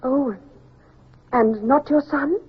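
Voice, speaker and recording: A woman's voice: sits high, takes it slowly. An actress playing a gracious, affectionate upper-class lady in an old-time radio drama, with a poised, emotive delivery. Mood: tender, emotional. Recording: muffled, noisy old radio recording.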